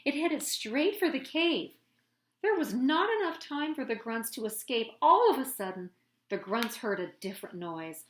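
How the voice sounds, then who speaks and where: Expressive, high-voiced woman storyteller. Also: a little hiss or hum. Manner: dramatic, deliberate emphasis. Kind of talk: expressive children's picture book read-aloud. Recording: echoey room.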